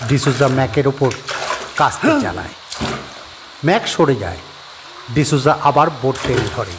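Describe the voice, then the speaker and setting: A man narrating a horror audio story at a steady, measured pace, his pitch sitting in the middle range. A faint hiss of noise lies under his voice.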